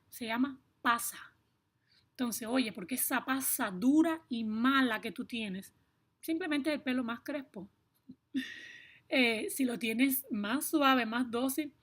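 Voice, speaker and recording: A woman with a Cuban accent explaining things to viewers in a personal, emphatic way, stressing points with deliberate repetition. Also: on the high side, a bit bright. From Cuba. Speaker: video blogger.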